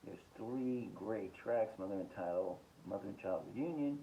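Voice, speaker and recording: A man hosting a casual, rambling music collection review, listing titles haltingly and giving blunt, short verdicts. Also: on the low side, animated, warm tone, highs cut off, a little hiss or hum. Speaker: host of a music collecting podcast.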